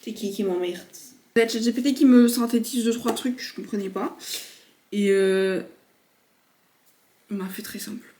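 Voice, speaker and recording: A woman's voice: mid-range voice, steady tone, normal speed, pauses often, dark tone, slight background noise. A woman in her twenties chatting casually to her viewers in a slangy vlog style. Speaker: vlogger, university student. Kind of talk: casual vlog chat to camera.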